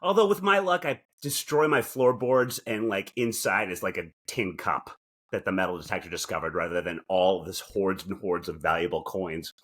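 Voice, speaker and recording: A man's voice: low-pitched, moderate pace, a bit bright. A man co-hosting a podcast, chatting casually with a wry, joking manner. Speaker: podcast co-host. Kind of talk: casual comedic chat.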